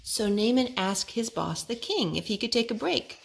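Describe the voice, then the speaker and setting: A mother reading a rhyming children's book aloud to her child, gentle and sing-song, pausing to ask him questions. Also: fairly high pitch, lively intonation, gravelly, a little hiss or hum. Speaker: mother and storytime host.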